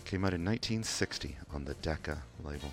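Very deep-voiced Kentucky radio DJ man. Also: fairly quick, soft background music. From England. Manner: relaxed, rambling, many "uh" fillers and restarts. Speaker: radio DJ host. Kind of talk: casual radio DJ talk. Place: radio studio. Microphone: studio microphone.

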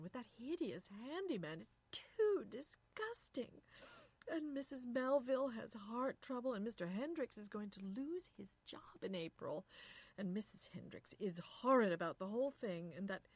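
A woman's voice: medium pitch, no room sound. A woman voicing an anguished, overwrought young wife in a story reading, with tearful, pleading delivery.

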